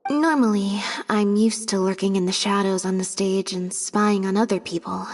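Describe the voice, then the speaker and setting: A woman playing an aloof young stage assistant in a video game, understated and deadpan in delivery. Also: mid-range voice, normal speed, big-room sound, natural voice. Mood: deadpan, dismissive. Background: music.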